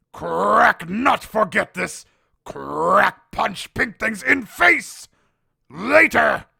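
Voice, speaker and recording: Raspy gravelly caveman boss, yelling everything, super slow and harsh. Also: sits high, no room sound, studio quality, full character voice. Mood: dismayed. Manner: shouting in clipped, broken third-person phrases. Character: dim, angry caveman warlord speaking in broken grunts. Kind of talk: comedic game-character voice lines.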